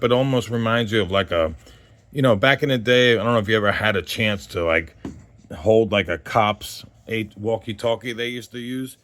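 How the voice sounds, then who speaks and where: A man's voice: lowish voice, fairly bright tone. A man in his forties giving a casual, unscripted gear review as host, in a rambling conversational way that trails off mid-thought. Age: 40s.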